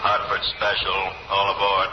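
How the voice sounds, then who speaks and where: Actor as monotone railroad train caller. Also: male voice, deep voice, moderate pace, close to an everyday voice. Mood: businesslike. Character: brisk railroad station announcer calling departures. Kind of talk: 1930s radio acting. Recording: muffled, noisy old radio recording.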